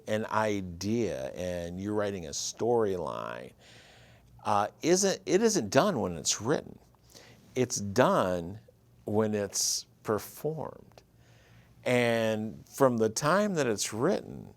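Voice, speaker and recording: A man's voice: fairly low pitch, creaky, a little mellow, dry recording. A man in his fifties with a Michigan accent, telling his story easily as an interview guest. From Michigan, USA. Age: 50s. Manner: easygoing, storytelling. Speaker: guest, writer and producer. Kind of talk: casual interview chat.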